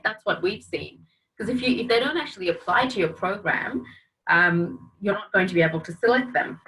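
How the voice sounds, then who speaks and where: A woman's voice: medium pitch, a bit bright. A woman presenting a training webinar over a video call, speaking in an upbeat, encouraging and direct way. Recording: distant video-call audio.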